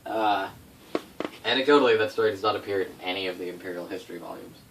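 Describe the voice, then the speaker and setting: A man's voice: low voice, quick pace, vocal fry. A man informally telling tabletop game lore to players as game master, in a casual, rambling way with swearing and self-corrections. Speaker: game master recounting setting history to players. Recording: distant mic with faint background noise.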